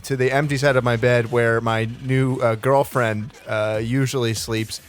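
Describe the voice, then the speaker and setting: A man playing an awkward, halting stockbroker making small talk in a comedy script reading. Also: medium pitch, fairly even intonation, soft background music, natural voice. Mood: awkward, friendly.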